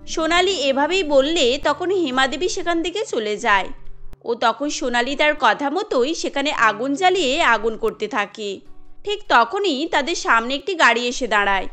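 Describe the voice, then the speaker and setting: A woman's voice: sits high, expressive, moderate pace, fairly bright tone, soft background music. A woman narrating a scripted cartoon story as a storyteller.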